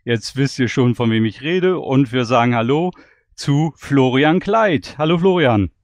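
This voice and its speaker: A man's voice: mid-range voice, animated, a little slow, no room sound, studio quality. A man hosting a podcast interview, moderating in a friendly, conversational way.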